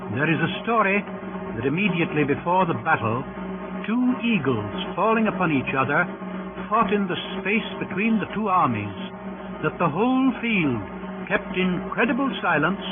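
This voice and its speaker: A man narrating an old radio drama in a formal, deliberate, scripted manner, phrase by phrase. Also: high voice, background noise. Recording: muffled old radio recording, music behind.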